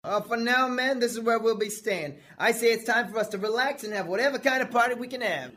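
Actor as a high-voiced American army captain. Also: male voice, fairly quick, sharp tone, exaggerated voice. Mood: relieved. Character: American army captain, firm, steady commander. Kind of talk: radio drama acting. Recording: faint background noise.

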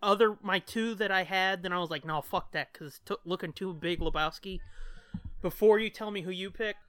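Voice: medium pitch, a little fast, a bit bright, dry recording. A podcast co-host chatting casually in blunt, slangy, profanity-heavy talk. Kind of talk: casual chat.

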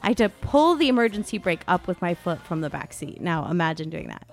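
A woman co-hosting a podcast, chatting casually and comedically in an animated, expressive way. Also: fairly low pitch, a little fast, soft background music. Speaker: podcast co-host.

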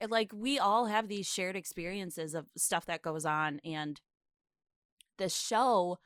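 A woman's voice: lowish voice, dry recording, clean studio sound. A woman co-hosting a podcast in casual, effusive and emphatic conversation. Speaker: podcast co-host.

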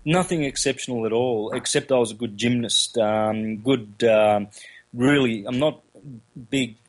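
A man with an Australian accent, first language Calabrian, speaking as an interview guest, halting with many fillers and restarts. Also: medium pitch, rough recording. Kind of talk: casual interview talk.

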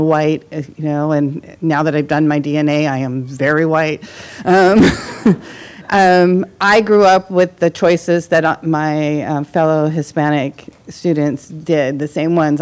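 A woman from the audience at a conference session, speaking loudly and earnestly with many restarts. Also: low voice. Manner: loud, earnest, rambling with restarts. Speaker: audience member. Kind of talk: conference discussion.

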